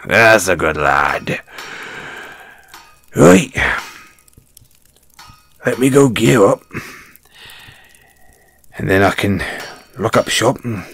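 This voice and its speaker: Man playing a slow, deep-voiced dwarf blacksmith. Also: very animated, vocal fry, a bit bright, husky, heavily acted. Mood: warm, businesslike, then eager, hearty. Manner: hesitant, folksy, avuncular, addresses listener as lad. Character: kind gruff dwarf blacksmith, former adventurer, hearty and loyal. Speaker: audio roleplay performer. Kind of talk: fantasy audio roleplay acting, second-person dialogue.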